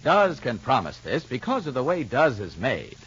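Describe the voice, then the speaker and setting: A man's voice: huge pitch swings, moderate pace, a bit bright, slightly rough. Old-time radio announcer guy, swoopy dramatic voice, muffled lo-fi. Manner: dramatic, booming, persuasive. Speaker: announcer and narrator. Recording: dull lo-fi old radio recording.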